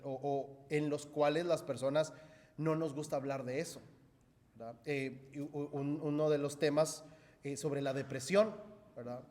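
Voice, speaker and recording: A man with a slightly high voice delivers a sermon in a big, echoing hall. He explains things conversationally, like a pastor talking with his congregation. He repeats his points and often adds a short questioning tag. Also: darkish tone. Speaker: pastor preaching to a church congregation. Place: church hall. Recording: echoey hall with long reverb.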